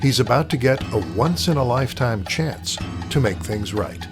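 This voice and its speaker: A man narrates the opening of an audio play. His voice is mid-pitched and scripted, with music playing clearly behind it. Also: animated, moderate pace. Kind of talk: scripted narration, story introduction.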